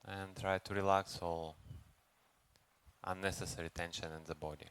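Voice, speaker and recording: A man leads a guided meditation as a teacher, speaking gently and unhurriedly with long pauses. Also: sits low, steady tone, clean tone. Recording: noisy recording with ambient background sound.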